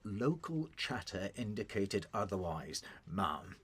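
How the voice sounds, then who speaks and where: Driven brit spook handler, raspy voice, pitch moves a lot, terse n stubborn, radio drama. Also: male voice, sing-song, slow, full character voice. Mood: defensive, stubborn. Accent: British.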